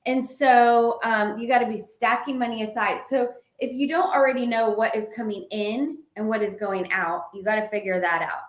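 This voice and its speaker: A woman giving money advice in a casual co-host chat, animated and quick to laugh. Her voice sits slightly high. The room is echoey. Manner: animated, laughs often, loses her place.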